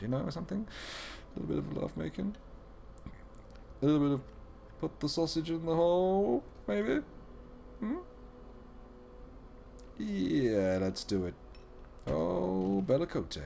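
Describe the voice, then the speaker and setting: A young man commenting on a game he is playing live. His voice bounces lively between high and low as he jokes and mutters to himself, and a faint hum of room noise sits behind him. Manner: playful, joking, muttering asides. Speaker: streamer playing a video game.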